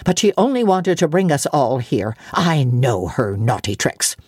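A sour maidservant scoffs in a lowered, disgusted voice , as a woman reading aloud puts her on, her tone dark and husky. It is heard close and dry. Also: sing-song, measured pace, no echo. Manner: low, disgusted, scolding.

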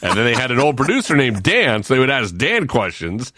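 A man with a deep voice co-hosting a radio segment, easygoing and jokey, laughing often. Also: sing-song, fairly quick, pushed and bright, no echo. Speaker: radio co-host. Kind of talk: casual radio banter. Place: radio studio. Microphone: studio mic.

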